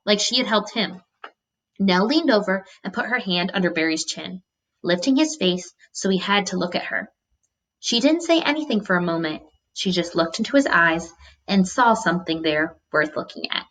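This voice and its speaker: A woman teacher reads a children's novel aloud in an expressive, instructive manner, pausing to explain to students. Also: mid-range voice, low-quality recording. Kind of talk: storybook read-aloud with teaching asides.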